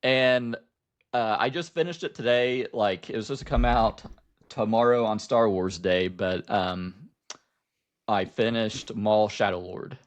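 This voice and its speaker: A man with a fairly low voice talks casually as a podcast co-host. He rambles and halts as he goes, feeling his way through each thought in loose conversation. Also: a little slow. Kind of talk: casual chat about film and TV.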